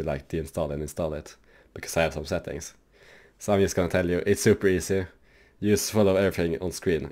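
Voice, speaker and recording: A man hosting a casual, loose software tutorial for his gaming channel, rambling with lots of fillers. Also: deep voice.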